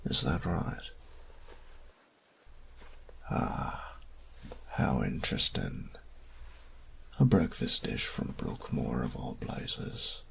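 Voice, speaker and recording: An extremely low, crackling male creature of darkness, from a fantasy audio drama. He speaks slowly and with arch, teasing politeness, sliding into breathy whispers with a quietly sinister edge. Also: big pitch swings, full character voice. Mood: curious, courteous. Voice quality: very deep, gravelly, breathy near-whisper. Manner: formal, measured, archly polite, teasing. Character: sinister, courtly, teasing dark magical being, male. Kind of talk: fantasy audio roleplay acting.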